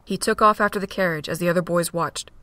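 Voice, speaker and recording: A woman narrates a fan-made audio drama with a steady, plain delivery. Her voice sits low, recorded dry and close with no room around it. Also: fast talker, dry recording.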